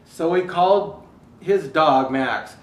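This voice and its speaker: A man reading a children's Christmas story as Santa, in an animated, theatrical way with drawn-out repetition and emphasis. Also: fairly high pitch. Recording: roomy echo, distant microphone.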